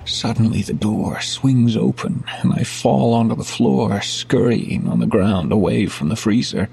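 A man playing a frightened, guilt-ridden shop worker, telling his horror story in an intense, halting, gravelly delivery. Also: lowish voice, a little hiss or hum. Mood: panicked. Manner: intense, halting, breaking into anguished shouts. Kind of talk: dramatic first-person horror narration. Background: music.

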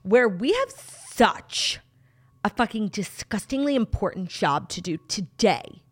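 A woman's voice: mid-range voice, animated, unhurried. A woman, co-hosting a casual chat in a bubbly, gushing, playful way. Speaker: podcast co-host.

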